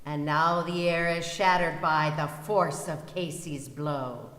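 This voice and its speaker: A woman's voice: sits low, fairly even intonation, slow pace, a bit bright. A woman reciting poetry dramatically as a readers theater performer. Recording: very echoey hall, long reverb.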